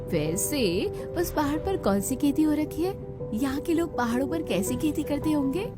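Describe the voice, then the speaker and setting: A woman voicing a dreamy, eager, excitable young bride in a cartoon story. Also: high voice, normal speed, over loud music. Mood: excited.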